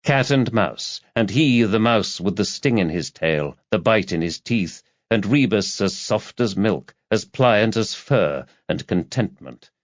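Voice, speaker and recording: A man with a fairly low voice reads a literary thriller as an audiobook narrator. His delivery is measured and dramatic, like scripted storytelling. The sound is very dry and slightly dull, with no room around the voice. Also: expressive, no room sound.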